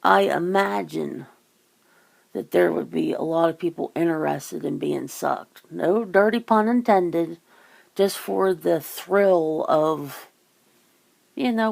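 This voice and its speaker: A woman chats casually in a lowish voice full of heavy vocal fry. She rambles from thought to thought, teasing and swearing freely as she goes. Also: darkish tone. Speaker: ASMR channel host. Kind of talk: casual phone chit-chat.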